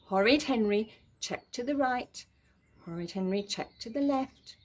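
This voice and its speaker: A woman's voice: on the high side, animated, measured pace. A woman narrating a children's story in a dramatic, emphatic way. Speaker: story reader.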